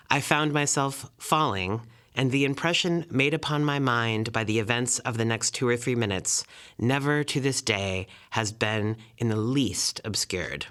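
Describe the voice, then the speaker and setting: A man reads a literary memoir aloud. His voice sits at a middle pitch, and his delivery is measured, with formal, old-fashioned phrasing suited to scripted narration. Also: bright, forceful tone.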